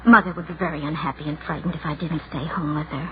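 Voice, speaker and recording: A woman's voice: sits low, steady tone, fast talker, natural voice. An actress playing a shy, anxious, judgmental lonely woman of thirty in a brittle, fretful 1940s radio drama style. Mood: bitter, fretful. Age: 30s. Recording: noisy, narrow-band 1940s radio recording.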